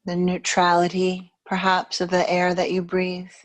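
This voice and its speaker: Slow, low-voiced woman guiding meditation. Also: completely flat delivery. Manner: soothing, unhurried, with long pauses between phrases. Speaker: yoga teacher. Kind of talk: guided yoga nidra meditation.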